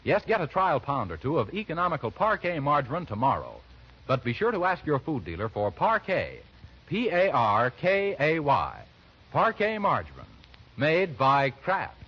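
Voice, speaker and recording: A man's voice: a little high, huge pitch swings, normal speed, husky. A man announcing in 1940s radio commercial style, emphatic and persuasive. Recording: muffled 1940s radio broadcast.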